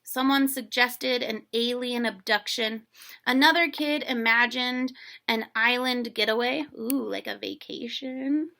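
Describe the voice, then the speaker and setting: A woman reading a children's story aloud in an animated, playful way, with chatty casual asides to young listeners. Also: fairly high pitch, unhurried, creaky.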